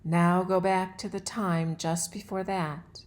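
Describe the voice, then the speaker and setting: A woman guiding a yoga nidra relaxation as an instructor, with soothing, measured and deliberate phrasing. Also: fairly low pitch, little pitch movement. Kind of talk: guided meditation and relaxation instruction.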